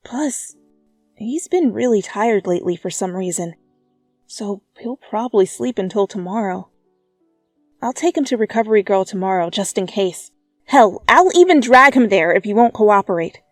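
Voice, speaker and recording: A woman's voice: medium pitch, lively intonation, normal speed, dry recording, studio quality, faint music behind, natural voice. A woman voicing a caring, easily flustered young student in a dramatic fanfiction reading.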